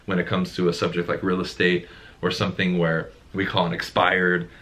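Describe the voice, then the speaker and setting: Male sales coach, very low and deep, emphatic, casual talk. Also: completely flat delivery, fairly quick, a bit bright. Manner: emphatic, repeats words when making a point. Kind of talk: casual conversation.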